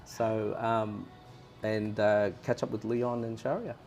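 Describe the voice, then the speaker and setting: A man from Australia speaks as an interview guest, earnest and plain, repeating his advice. His voice sits low and gravelly with very little melody. Faint ambient noise hangs behind him. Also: level tone, slow, a little mellow, faint music behind. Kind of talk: casual advice chat.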